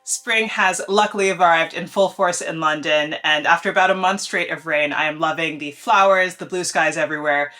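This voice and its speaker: A woman's voice: deep voice, normal speed, few pauses, pushed and bright. An enthusiastic young woman chatting on her sewing vlog, a little congested from allergies. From United States. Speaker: sewing vlogger. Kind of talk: casual upbeat vlog talk.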